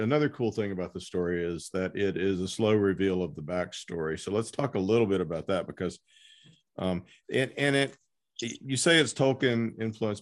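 Deep-voiced male podcast host. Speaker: podcast host interviewing an author. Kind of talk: casual interview chat.

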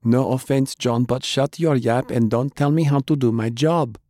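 A man voicing a calm, no-nonsense woman healer who bosses people about, in an audiobook. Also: mid-range voice, normal speed, no echo, clean studio sound, pitched above the performer's own voice, natural voice. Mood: brisk, calm. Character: brisk, bossy, unflappable woman healer. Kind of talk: audiobook character acting.

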